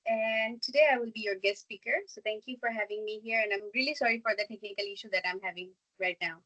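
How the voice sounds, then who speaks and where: Bright-voiced young woman from Bangladesh. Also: medium pitch, fairly even intonation, fast, dull audio. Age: 20s. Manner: chatty, apologetic about tech trouble. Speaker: guest speaker. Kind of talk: online class talk. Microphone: video call.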